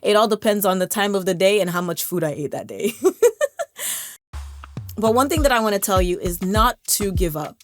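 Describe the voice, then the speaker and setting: A woman's voice: mid-range voice, normal speed, pauses often, soft background music. A young American woman vlogger talking to camera in a casual, encouraging way, often repeating words as she restarts. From United States. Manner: conversational, stumbles and repeats words, laughs now and then. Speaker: language vlogger.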